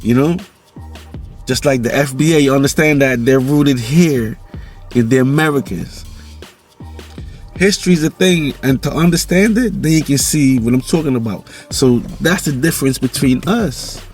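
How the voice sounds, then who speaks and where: Jamaican male vlogger, mid-pitched, easygoing and rambling, faint music bed. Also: normal speed, a little mellow. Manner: loose, rambling, repeats "you know". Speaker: vlog host. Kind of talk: casual monologue vlog.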